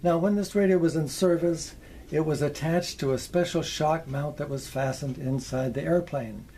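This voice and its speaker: A man with a fairly high, mellow voice narrates a show-and-tell video as its presenter. He speaks in careful, short phrases with a folksy touch. His delivery is slightly theatrical, with a wry sense of humour. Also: moderate pace, a little hiss or hum. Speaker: presenter, vintage radio collector.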